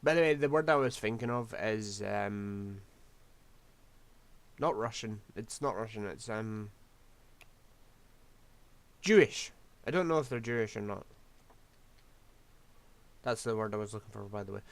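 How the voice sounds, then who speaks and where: A man's voice: on the low side, a little slow, vocal fry, pauses often. A man, rambling through casual gameplay commentary while losing his voice. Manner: rambling, scatterbrained, self-deprecating. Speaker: game streamer.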